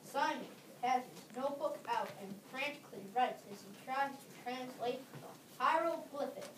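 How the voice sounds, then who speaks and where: A female narrator reading a scripted story aloud for readers' theater, with halting phrasing. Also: high-pitched. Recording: distant mic, echoey room, clear background noise.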